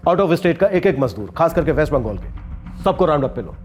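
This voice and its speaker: A man's voice: a little high, big pitch swings, moderate pace. An actor playing a stern senior police officer who gives firm orders to his team. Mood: commanding. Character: stern adult male police chief.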